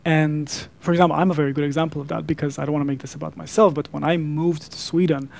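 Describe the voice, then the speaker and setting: A man with a Serbian accent, native in Serbian, speaks in a slightly high voice with a strong creaky edge. He delivers an earnest talk as a speaker and coach. His wording is formal and deliberate, set off by emphatic pauses. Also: flat delivery. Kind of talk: earnest public talk to a digital audience.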